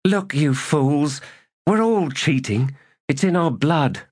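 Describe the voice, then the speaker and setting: A man's voice: a little high, lively intonation, takes it slowly, slightly rough, pitched above the performer's own voice, heavily acted. A man reading an audiobook, voicing a weary, blunt female army sergeant in a brusque, exasperated manner.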